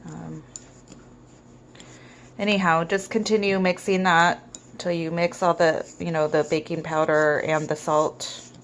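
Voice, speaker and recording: A woman with a cold walks through a home cooking tutorial in a somewhat low, raspy voice. She gives relaxed step-by-step instructions with plenty of ums. Faint background noise sits under her voice. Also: measured pace, pauses often. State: hoarse with a cold. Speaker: home cook.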